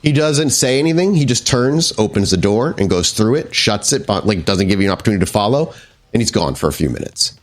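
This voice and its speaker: A man's voice: on the low side, expressive, brisk. A man narrating a tabletop horror game as storyteller, improvising descriptions in a conversational way with some casual swearing.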